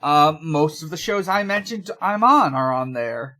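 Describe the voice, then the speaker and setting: A podcast co-host chatting casually in a halting, rambling way. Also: mid-range voice, lively intonation, pushed and bright.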